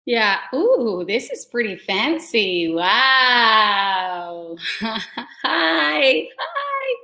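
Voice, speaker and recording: A woman's voice: fairly high pitch, big pitch swings, drawn-out words, gravelly, pushed and bright. An effusive woman speaking informally as a panelist in a casual discussion. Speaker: panelist, nonprofit co-founder.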